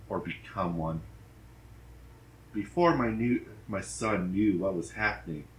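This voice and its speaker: A man narrating a dramatic horror story in a deliberate, grave manner. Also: low-pitched. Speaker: story reader. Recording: roomy echo, background noise.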